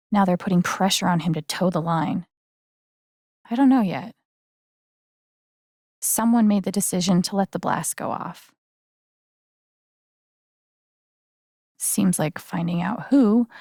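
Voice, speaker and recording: An actress playing an earnest, gentle young woman in a video game, speaking softly and haltingly with sincere, naturalistic delivery. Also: medium pitch, no echo, studio quality. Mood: quietly determined.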